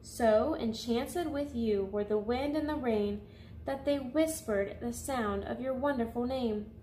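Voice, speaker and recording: A woman with a fairly high voice hosts a story time for children. She reads in picture-book narration style and addresses her young listeners directly. Faint background noise sits behind her voice. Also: darkish tone.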